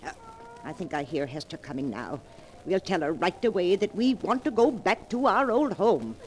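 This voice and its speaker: An actress playing an elderly, fretful but firm aunt in a 1940s radio drama. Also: female voice, fairly low pitch, lively intonation, normal speed, a little mellow, natural voice. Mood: fond, chatty. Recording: noisy vintage 1940s radio recording.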